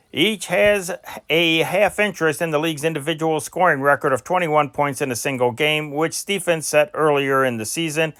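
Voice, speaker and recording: A man reading old newspaper sports dispatches aloud as an amateur news host, in a deliberate announcer-like delivery with occasional stumbles. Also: on the high side, fairly bright tone, no echo, studio quality.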